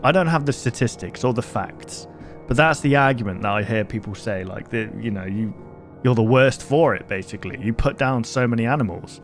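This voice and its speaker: A man's voice: medium pitch, fairly quick, faint music behind. A man giving casual, rambling reaction commentary in a jokey, incredulous manner. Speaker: YouTuber reacting to horror videos.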